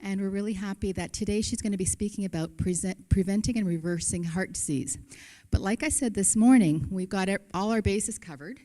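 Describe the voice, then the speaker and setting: A woman with a mellow, warm-toned voice hosting an afternoon seminar. She introduces the speaker and announces the program in a friendly, chatty manner, now and then hesitating with an um or uh. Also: medium pitch, brisk. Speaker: event host.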